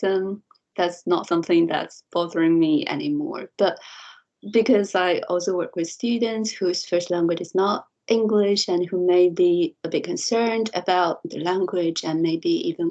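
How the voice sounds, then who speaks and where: Low-voiced woman, native Mandarin speaker. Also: a little mellow, dull audio. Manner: thoughtful, frequent light laughter and restarts. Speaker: interview guest, lecturer. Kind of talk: reflective podcast interview answer.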